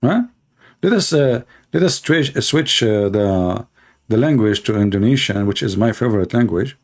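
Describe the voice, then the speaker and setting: A man's voice: on the low side, expressive, moderate pace. A man hosting a livestream debate, talking in a sarcastic, mocking, rambling way with lots of fillers.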